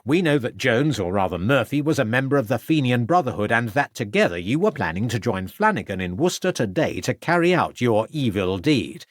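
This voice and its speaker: A man's voice: mid-range voice, very animated, fairly quick, few pauses, dry recording, studio quality. A man voicing a stern, persistent police interrogator who fires off accusing questions. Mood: demanding. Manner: hectoring questions. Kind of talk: audiobook character voice.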